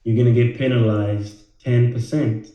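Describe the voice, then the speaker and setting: A man from Rwanda, a financial educator, talking casually to the camera, halting with many fillers and repeated words. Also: medium pitch, steady tone, dull audio. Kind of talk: casual direct-to-camera talk. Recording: very echoey room.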